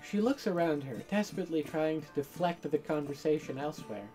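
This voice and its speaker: A woman's voice: sits low, a little fast, mellow, soft background music. A woman playing through a game, bantering casually and reading aloud in a playful, teasing manner. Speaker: game streamer and reader.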